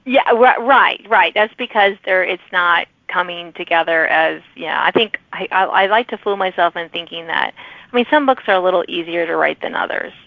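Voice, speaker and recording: A woman's voice: on the low side, normal speed, creaky, no room sound. Chatty middle-aged woman host, dry slightly dull sound. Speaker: podcast host. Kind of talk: casual interview chat.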